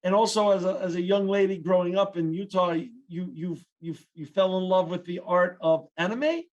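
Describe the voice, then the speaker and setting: A man's voice: high voice, moderate pace, a little mellow, lo-fi sound. A man hosting an interview podcast, asking eager questions with frequent restarts. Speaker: podcast host.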